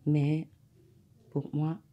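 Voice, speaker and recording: A woman's voice: sits low, level tone, extremely slow, dark tone, highs cut off. A woman from the Congo, an interview guest giving brief, plain replies. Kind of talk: interview answers.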